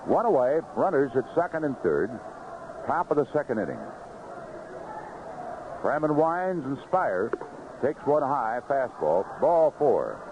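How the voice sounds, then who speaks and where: A man's voice: mid-range voice, big pitch swings, unhurried, pauses often. An American man calling live baseball play-by-play on the radio. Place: ballpark broadcast booth. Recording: noisy, muffled vintage AM radio broadcast.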